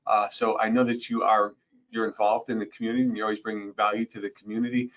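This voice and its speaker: A man's voice: on the low side, little pitch movement, fast talker, fairly bright tone, muffled recording. Man hosting a business podcast. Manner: friendly, with many "you know" fillers. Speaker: podcast host, company president.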